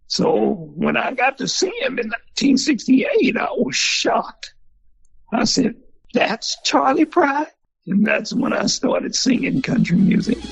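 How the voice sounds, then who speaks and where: An older man with a husky, gravelly voice, telling folksy stories in an interview. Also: extremely high pitch, a little slow, muffled recording. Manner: folksy, animated storyteller. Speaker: museum founder and former country singer. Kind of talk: storytelling in an interview.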